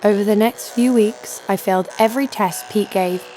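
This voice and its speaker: A woman's voice: mid-range voice, moderate pace, noisy background, close to an everyday voice. A woman playing a hot-tempered young female fighter in an audio drama, blunt and sarcastic. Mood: wry, storytelling. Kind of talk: first-person audio drama narration and dialogue.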